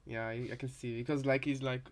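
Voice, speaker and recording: A man's voice: mid-range voice, level tone. Rambling man chatting through game commentary. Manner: rambling, repetitive, halting. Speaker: reaction video co-host. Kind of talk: casual commentary on game voice lines.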